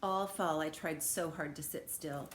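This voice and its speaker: A woman with a slightly low voice reads a children's story aloud. Her delivery is animated, with dramatic emphasis on key phrases. The room echoes around her and there is faint noise. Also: unhurried. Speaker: storyteller reading a picture book aloud. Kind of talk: expressive children's read-aloud narration. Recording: roomy echo, background noise.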